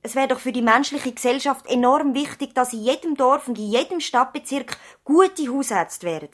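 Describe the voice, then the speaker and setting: A woman playing an idealistic young doctor's daughter in a 1970s radio drama, with a thoughtful, measured, earnest delivery. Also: sits high. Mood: earnest, concerned. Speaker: actor in a radio play.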